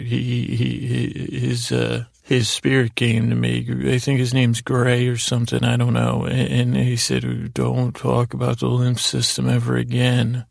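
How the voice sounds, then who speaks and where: A man speaks in a heavily fried, gravelly voice with very little pitch movement, as the host of a bedtime podcast. His monologue wanders and halts, doubling back on stumbled words. The sound is close and dry, with faint music underneath. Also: no echo. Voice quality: creaky, gravelly. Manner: meandering, halting, stumbling over words. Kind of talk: rambling bedtime monologue to help listeners sleep.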